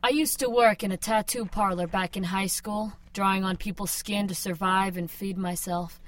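A woman's voice: mid-range voice, steady tone, moderate pace, natural voice. A woman playing a hot-tempered, foul-mouthed young vampire slayer in a radio drama, curt and defiant. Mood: reflective.

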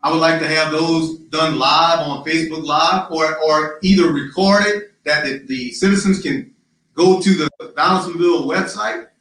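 A man running for city council, answering interview questions in a loud, emphatic, rallying way with lots of repetition. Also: on the high side, normal speed, slight background noise. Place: live-streamed social media forum. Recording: very echoey, distant mic.

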